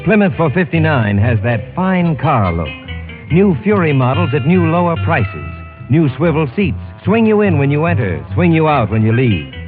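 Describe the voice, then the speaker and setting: A man's voice: fairly high pitch, big pitch swings, moderate pace, narrow, tinny audio. A male commercial announcer with a punchy, emphatic 1950s radio sales delivery. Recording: thin vintage radio recording. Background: music.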